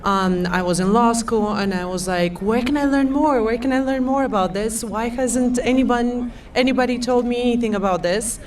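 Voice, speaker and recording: A woman's voice: fairly high pitch, normal speed, few pauses. Hesitant woman speaking off-mic. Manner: hesitant, with many fillers. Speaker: panelist. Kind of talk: personal story on a panel. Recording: far from the mic.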